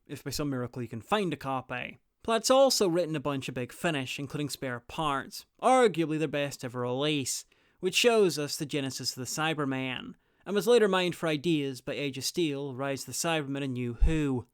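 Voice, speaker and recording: A man's voice: fairly high pitch, lively intonation, moderate pace, smooth, no echo, studio quality. A man delivering scripted review commentary as the host of a video guide.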